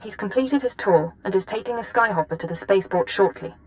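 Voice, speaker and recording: A woman's voice: mid-range voice, expressive, fairly quick, natural voice. A woman playing a composed but urgent intelligence analyst in a video game, speaking in a precise, businesslike way. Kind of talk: scripted video game acting.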